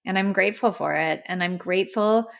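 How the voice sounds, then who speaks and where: Slightly hoarse woman, gravelly podcast host. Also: medium pitch, fairly quick, a bit bright. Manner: earnest, effusive, rambling. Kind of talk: solo podcast sign-off. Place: in front of a camera. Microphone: studio microphone.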